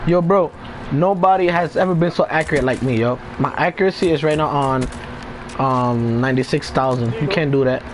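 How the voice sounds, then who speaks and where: A man's voice: on the high side, fairly quick. A man teasing his friends in casual chat while playing an online shooter, switching between English and Spanish. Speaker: gamer in party chat. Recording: noisy online party chat.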